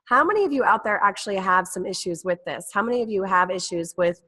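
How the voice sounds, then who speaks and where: A woman's voice: mid-range voice, animated, quick pace, sharp tone. Chatty woman host with a cold. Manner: chatty, upbeat, many fillers. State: has a cold. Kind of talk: casual talk show chat. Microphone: video call.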